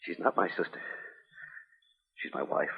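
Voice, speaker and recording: A man playing a wounded, weary, bitter outlaw in an old radio western, weak and raspy as he speaks. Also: normal speed, natural voice. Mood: pained, resigned. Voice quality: raspy, gravelly. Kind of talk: 1950s radio western acting. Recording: old radio recording, narrow band, reverberant.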